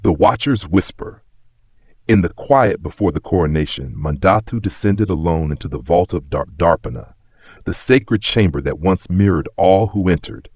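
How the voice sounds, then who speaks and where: A man with a very deep voice delivers scripted dramatic storytelling. His delivery is solemn, measured and reverent. The sound is echoey and slightly dull, with the top end softened. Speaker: narrator.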